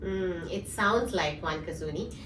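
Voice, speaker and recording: A woman's voice: lowish voice, a little slow, a bit bright, slight background noise. A woman hosting a casual company interview, encouraging her guest with frequent "yeah". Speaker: host, company CEO. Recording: echoey room, mic at a distance.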